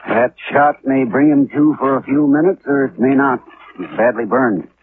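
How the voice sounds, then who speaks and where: Actor as sober doctor, crackly old radio. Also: male voice, medium pitch, moderate pace, natural voice. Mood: grave. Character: sober, measured male hospital doctor. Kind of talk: 1950s radio drama acting. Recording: noisy, narrow-band old 1950s radio recording.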